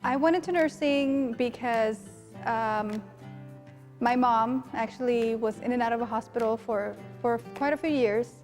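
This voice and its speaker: A woman's voice: on the high side, a little slow, a little mellow, soft background music. A young adult woman, a nurse, speaking in a heartfelt, personal way in an interview. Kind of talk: interview testimony.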